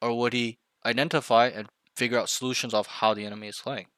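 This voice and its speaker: A man's voice: mid-range voice, level tone, quick pace, a bit bright, smooth, no room sound. A man coaching a younger player, blunt and emphatic, repeating key points to drive them home. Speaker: game coach. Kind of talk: coaching session, direct feedback.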